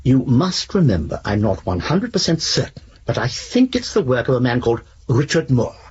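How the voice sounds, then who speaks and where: An actor playing a polite, bookish manuscript expert who rambles absent-mindedly in a genteel manner in a radio drama. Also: male voice, mid-range voice, very animated, moderate pace, darkish tone, breathy edge, dull audio. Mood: musing, admiring.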